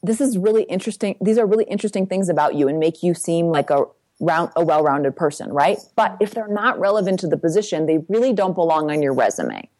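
Brisk woman giving career advice. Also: fairly low pitch, lively intonation, darkish tone. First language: English. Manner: brisk, practical, tag questions like "right?". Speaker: guest career coach. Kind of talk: advice interview.

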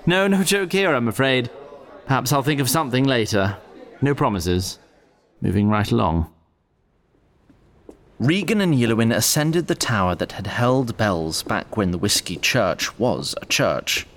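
A man's voice: medium pitch, sing-song, measured pace, a bit bright. A man playing a wry, theatrical narrator of a fantasy audio drama, formal and dramatic in his phrasing.

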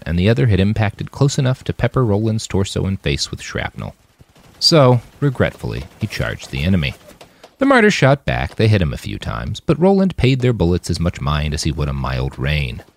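A man's voice: sits low, vocal fry. A man reading his action fiction aloud in an audiobook style. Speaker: author reading his own fiction on a podcast.

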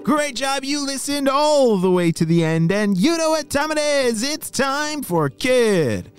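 A man's voice: very high-pitched, sing-song, measured pace, soft background music. A man hosting a children's story podcast, narrating and explaining things simply to young listeners.

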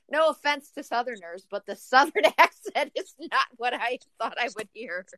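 A woman's voice: fairly high pitch, animated, moderate pace, bright, forceful tone, no room sound. A woman from Ohio with a Midwestern accent, hosting a casual podcast interview with lots of fillers and frequent laughter. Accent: Midwestern American. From Ohio, USA. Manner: chatty, laughs often, many fillers. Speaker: podcast host.

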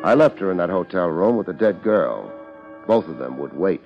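An actor playing a laid-back, wisecracking private detective in a 1950s radio drama, with a wry, deadpan manner. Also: male voice, sits low, moderate pace, gravelly, exaggerated voice. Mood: wry narration. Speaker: lead actor and narrator. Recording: muffled old radio recording with music.

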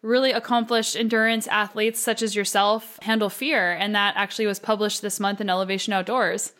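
A woman's voice: mid-range voice, little pitch movement, fairly quick, fairly bright tone. A woman hosting a podcast, talking in an informal, chatty way as she introduces and interviews. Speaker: podcast host.

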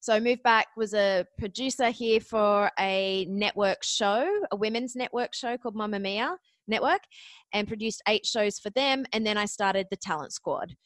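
A woman in her forties with an Australian accent, chatting as a podcast guest and telling her story in a quick, lively way. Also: medium pitch, dry recording. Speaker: podcast guest, media producer. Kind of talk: casual interview chat.

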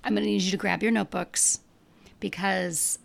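A woman's voice: medium pitch, steady tone, moderate pace, creaky. Woman podcast host, froggy voice from allergies, candid casual delivery. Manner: chatty, frank, emphatic with casual swearing. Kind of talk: casual solo podcast monologue.

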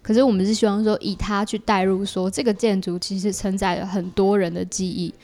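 A woman's voice: medium pitch, little pitch movement, a little fast, dark tone. A woman with a Taiwanese Mandarin accent and a mid-range voice speaks as a podcast guest. She explains things in a conversational way, thinking aloud in long, linked sentences. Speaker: podcast guest, audio drama creator.

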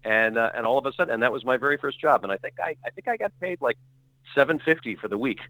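A middle-aged actor speaking as a podcast guest, telling long stories in a rambling, self-correcting way full of fillers. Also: male voice, medium pitch, lively intonation, fast, bright, forceful tone, no echo, low-quality recording. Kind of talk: relaxed conversational storytelling.

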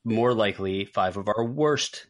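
Deep-voiced man hosting a podcast. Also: unhurried. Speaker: podcast host. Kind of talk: casual talk show moderating.

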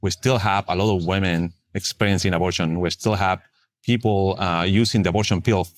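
A man's voice: low-pitched, steady tone, brisk. A man from Chile with a Chilean Spanish accent, Spanish his first language, answering interview questions as a nonprofit fundraiser, hesitant with many fillers. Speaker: pro-life nonprofit fundraiser.

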